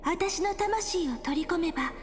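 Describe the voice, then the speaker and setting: Casting: gentle young game heroine, very high voice, wistful yet resolute, long reverb. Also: female voice, a little fast. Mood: solemn, resolute. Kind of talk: Japanese anime game voice acting. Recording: long reverb, music under the voice. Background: game music.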